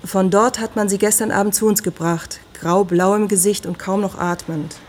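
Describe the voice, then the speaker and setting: An actress in a radio drama playing a brisk, frank hospital doctor who talks in a direct, matter-of-fact way. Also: female voice, medium pitch, fairly even intonation, darkish tone, a little hiss or hum, close to an everyday voice. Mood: matter-of-fact, concerned.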